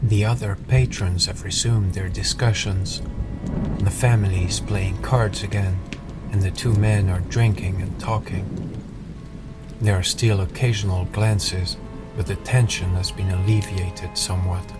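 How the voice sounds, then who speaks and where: A man narrating a fantasy audiobook from a script in the first person, with a measured storytelling manner. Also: low-pitched, fairly even intonation. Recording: loud music behind the narration.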